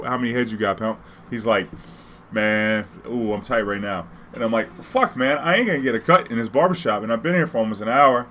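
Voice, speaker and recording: A man's voice: mid-range voice, fairly bright tone, a little hiss or hum. A man from New Orleans with an American accent, telling personal stories casually in profane street slang, acting out the dialogue.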